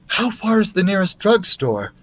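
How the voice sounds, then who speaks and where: A man's voice: sits high, very animated, moderate pace, a bit bright, voice pushed up, full character voice. A man voicing a worried, soothing mother in a children's storybook reading.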